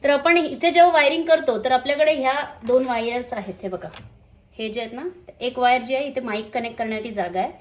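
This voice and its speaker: A woman's voice: fairly high pitch, fast talker. A woman casually explains a product as a vlogger, hesitating as she goes and mixing in English words. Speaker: motovlogger presenting a product. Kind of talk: casual unboxing and installation demonstration.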